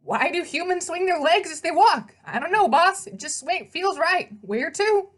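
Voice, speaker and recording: A woman's voice: very high-pitched, lively intonation, moderate pace, fairly bright tone, voice pushed up. A woman voicing a brash, loyal male pegasus sidekick in a children's storybook, with slangy, exclamatory talk. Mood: easygoing, puzzled. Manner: slangy, exclamatory, calls listener boss. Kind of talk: children's novel read-aloud character voice.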